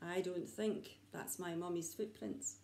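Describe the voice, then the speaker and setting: A woman's voice: on the low side, fairly even intonation, a little slow, dark tone, slight background noise, voice pushed down. A woman voicing a lost young male owlet in a storybook, earnest and wide-eyed as he searches for his mother. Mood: wistful, earnest. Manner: wide-eyed, earnest, simple childlike phrasing. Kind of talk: storybook character voice. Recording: roomy indoor echo.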